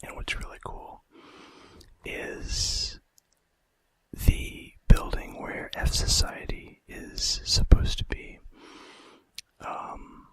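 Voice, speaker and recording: A man doing an informal ASMR fact video in a breathy, unvoiced whisper with a hesitant delivery. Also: extremely slow, a bit bright, no room sound. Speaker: ASMR host.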